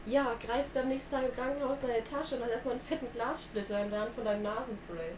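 A nineteen-year-old woman co-hosting a casual chat, telling stories in a lively, animated way and laughing at herself. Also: on the high side, quick pace. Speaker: podcast co-host. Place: sitting on a church pew. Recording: echoey room with clear background noise.

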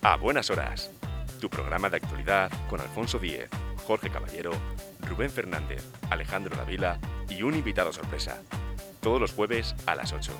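Deep dramatic male promo voice. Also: big pitch swings, moderate pace. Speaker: show promo announcer. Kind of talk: scripted radio promo. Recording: promo over music.

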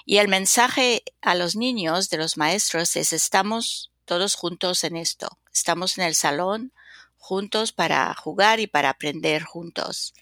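Slow, gravelly older woman. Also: lowish voice, fairly bright tone, no echo. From North Africa, then Spain. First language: French. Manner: slow, thoughtful, with many hesitations. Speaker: guest author and consultant. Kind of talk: podcast interview.

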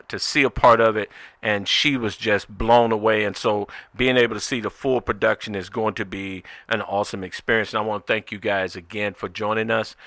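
Male radio host, lower register, dry sound, motivational and exhorting delivery. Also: sharp tone, no echo. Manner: encouraging, exhortative. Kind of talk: radio show sign-off, motivational talk.

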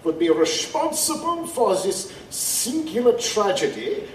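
A brooding, pompous male vampire of learning, played by a man for an audio drama. His voice sits high and moves about a lot, with formal, deliberate phrasing, heard at some distance over faint noise. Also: very animated, roomy sound. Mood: pedantic.

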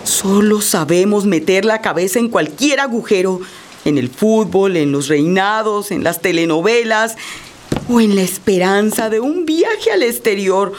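A woman's voice: medium pitch, a little hiss or hum, close to an everyday voice. An actress playing a resolute, compassionate older Colombian woman displaced by violence, speaking earnestly in a radio drama. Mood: firm, reproachful. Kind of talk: radio drama monologue and dialogue. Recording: loud guitar music under the voice.

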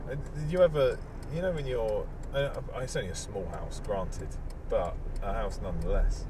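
A man's voice: lowish voice, moderate pace, distant mic. A man hosting a podcast, chatting in a rambling, playful way full of wordplay and tangents while sitting in a moving car. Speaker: podcast host. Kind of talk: rambling casual monologue. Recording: noisy car interior.

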